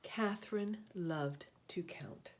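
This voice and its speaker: Lower-voiced woman reading a picture book aloud. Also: slow pace. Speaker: read-aloud host, storyteller. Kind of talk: picture book read-aloud with short asides.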